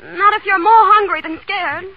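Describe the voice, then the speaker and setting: A woman's voice: very high-pitched, moderate pace. An actress playing a pampered, plaintive, spirited young woman in a 1930s radio drama. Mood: plaintive, indignant. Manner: plaintive, questioning. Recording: dull, noisy 1930s radio recording.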